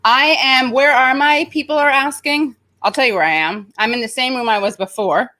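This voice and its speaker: A woman's voice: high-pitched, normal speed, pushed and bright. A woman hosting a casual online talk show, chatty and self-mocking, with a slightly nasal voice. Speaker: show host. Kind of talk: casual livestream banter.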